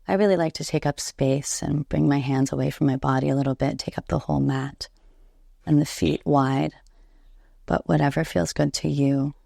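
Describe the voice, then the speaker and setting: A woman guiding a relaxation meditation as a yoga nidra instructor, soothing and unhurried, leaving long pauses between gentle instructions. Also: low voice, steady tone, gravelly, no room sound, clean studio sound.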